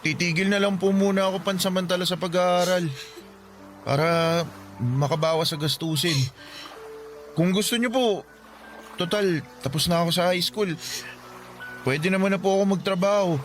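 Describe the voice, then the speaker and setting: An actor as a dutiful teenage boy in a radio drama, his voice pitched high for a male. He speaks politely and hesitantly, sounding anxious and earnest over faint music. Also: normal speed, long pauses, close to an everyday voice. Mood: resigned, earnest. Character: dutiful, anxious teenage child of a poor family. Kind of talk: Filipino radio drama acting.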